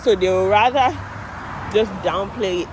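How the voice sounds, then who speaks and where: A Nigerian woman talks into her phone as she walks down a street, her accent strong. She is animated and blunt and swears without a second thought, with clear traffic noise and cars around her. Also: medium pitch, a little slow. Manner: animated, outspoken, swears freely. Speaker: vlogger, medical assistant. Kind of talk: casual vlog chat while walking. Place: outdoors on a residential street. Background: cars and street ambience.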